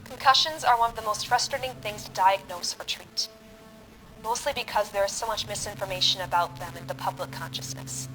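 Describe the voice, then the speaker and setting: A woman playing a matter-of-fact medical broadcaster, giving measured, precise instruction in an audio drama. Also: mid-range voice, fairly bright tone, soft background music, lightly acted, poor audio. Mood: clinical, instructive. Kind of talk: scripted instructional radio broadcast.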